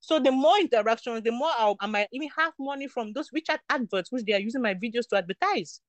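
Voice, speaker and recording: A woman's voice: on the high side, expressive, quick pace, dry recording. A young woman from Cameroon, a beauty entrepreneur, talking candidly in an interview, emphatic and repeating her points. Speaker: guest, beauty product entrepreneur. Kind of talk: candid casual interview talk.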